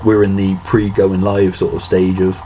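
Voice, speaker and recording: A man in his late thirties co-hosting a casual podcast, chatting wryly and recalling details quickly. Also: sits low, monotone, dark tone, slight background noise, low-quality recording. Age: 30s. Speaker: podcast co-host. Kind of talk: casual chat.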